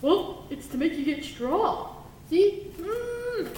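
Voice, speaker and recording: A high, cheerful puppet teacher acted by a woman telling stories to children in an animated way. The voice sits in an echoey room with a faint rumbly noise behind it. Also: takes it slowly, full character voice. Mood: cheerful, playful. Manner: exaggerated, animated, talks to children. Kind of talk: children's puppet show storytelling.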